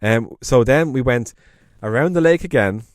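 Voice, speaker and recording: A man's voice: mid-range voice, lively intonation, moderate pace, no room sound, studio quality. An Irish man co-hosting a podcast, chatting casually and enthusiastically, rambling and correcting himself as he goes. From Ireland. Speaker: podcast co-host. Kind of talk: casual chat.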